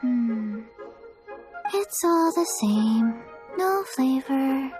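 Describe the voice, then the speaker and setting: A woman voicing a listless little zombie girl in a video game, deadpan and halting. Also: high-pitched, full character voice. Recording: music behind the voice.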